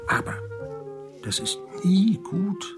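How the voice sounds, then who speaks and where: An actor playing an unflappable, wry hundred-year-old man in a German radio drama, telling his story in an unhurried, chatty way. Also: high-pitched, wildly swooping pitch, exaggerated voice. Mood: matter-of-fact. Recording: music behind the voice.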